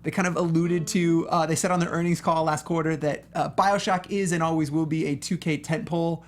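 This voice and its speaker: A man's voice: on the high side, fairly even intonation, fairly quick. A man co-hosting a casual video game panel, chatting in slangy, off-the-cuff language. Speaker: talk show co-host. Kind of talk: casual panel chat about video games.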